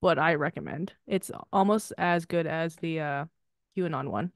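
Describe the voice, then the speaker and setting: A young woman co-hosting a podcast in loose, rambling chat. She swears freely and keeps breaking into laughter. Her voice sits a little low, and the room around her sounds dry. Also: moderate pace, no echo. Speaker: podcast co-host. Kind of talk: casual chat.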